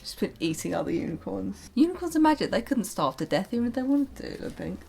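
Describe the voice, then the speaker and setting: A woman's voice: medium pitch, very animated, fast, gravelly, a little mellow, husky. Young British woman reading aloud playfully. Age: young adult. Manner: playful, self-mocking, breaks into giggles. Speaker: vlogger. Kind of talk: reading own childhood story aloud with casual commentary.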